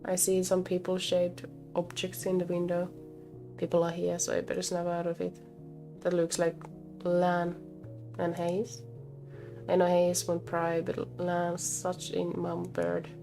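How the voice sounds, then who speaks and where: A woman's voice: on the low side, completely flat delivery, a little slow, gravelly. A woman game streamer reading a visual novel aloud expressively and breaking off to comment, with game music behind her.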